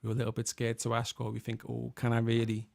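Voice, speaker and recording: A man's voice: medium pitch, completely flat delivery, fast talker, creaky, a little mellow, dry recording. Earnest Scouse man, dry close sound. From England. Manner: earnest, reflective, many fillers like "like". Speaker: guest musician and worship leader. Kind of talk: casual podcast chat.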